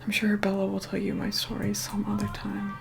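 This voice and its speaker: A woman playing an aloof, vain young vampire in a soft whispered ASMR roleplay, unhurried, dry and mildly condescending. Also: completely flat delivery. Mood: wistful, guarded. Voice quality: soft, breathy whispering mixed with low murmur. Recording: music playing behind close whispered voice.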